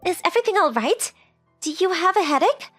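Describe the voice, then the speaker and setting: A woman's voice: very high-pitched, lively intonation, brisk, fairly bright tone, soft background music. A woman voicing a sweet, polite rich teenage girl who is coy and forward, in a romantic comedy comic dub. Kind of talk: anime-style comic dub dialogue.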